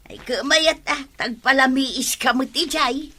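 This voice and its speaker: A woman's voice: high-pitched, unhurried, sharp tone, a little hiss or hum. A woman with an Ilocano accent playing a pushy, practical village wife in a radio comedy drama. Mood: insistent. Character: pushy, practical village wife urging her husband along.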